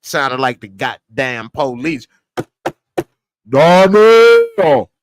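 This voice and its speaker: A man's voice: fairly high pitch, big pitch swings, drawn-out words, no echo, studio quality. A man giving a casual spoken review of a TV episode, blunt and slangy, sometimes breaking into heated rants. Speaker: TV series reviewer.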